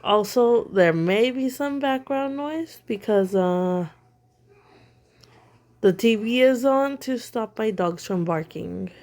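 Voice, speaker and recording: A woman talking at home in an unhurried, mellow voice that sits a little high. She is slightly sick with a sore throat. She gives blunt, critical commentary full of "like" and "um". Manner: blunt and critical, with many fillers. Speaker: online commentator. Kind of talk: casual opinion commentary.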